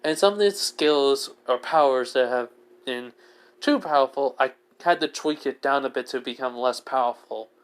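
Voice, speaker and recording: A man's voice: medium pitch, normal speed, smooth, close to an everyday voice. A man voicing an amused, bossy goddess in a fantasy story, teasing and matter-of-fact. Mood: amused, explaining.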